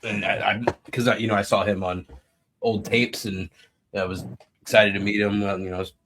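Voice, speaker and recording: Low-voiced rambling man, noisy line. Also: a bit bright. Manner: halting, rambling. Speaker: podcast panelist. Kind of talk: casual chat. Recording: clear background noise with clicks.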